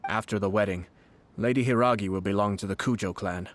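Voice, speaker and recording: An actor voicing a composed, courteous nobleman official in a video game, formal, measured and subtly sly. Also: on the low side, lightly acted. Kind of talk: video game voice acting, scripted dialogue.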